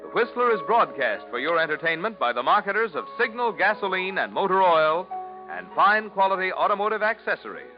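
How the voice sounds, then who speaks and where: Male 1940s radio announcer, raised pitch, animated, emphatic sales delivery, dull vintage sound. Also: a little fast. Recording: muffled vintage radio recording, faint music.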